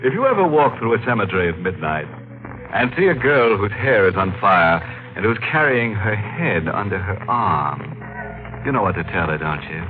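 A man's voice: on the low side, very animated, moderate pace. A man playing a gleefully macabre, mock-sinister horror host in the theatrical style of 1940s radio. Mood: mock-sinister, teasing. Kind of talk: 1940s radio horror hosting, tongue-in-cheek. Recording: tinny narrow-band old radio, organ music.

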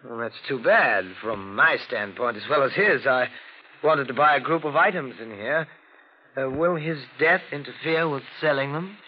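An actor playing a polite, self-interested rich collector in a 1940s radio drama, effusive and given to repeating himself. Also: male voice, mid-range voice, normal speed, natural voice. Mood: smooth, eager. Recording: dull, noisy vintage radio recording.

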